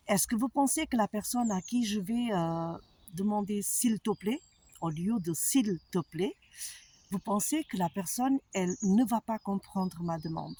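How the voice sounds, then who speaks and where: Slow, husky woman with a foreign accent. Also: medium pitch, dark tone. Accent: foreign accent in French. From abroad, not France. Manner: slow, hesitant, many fillers. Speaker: holistic therapist. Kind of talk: personal monologue to followers.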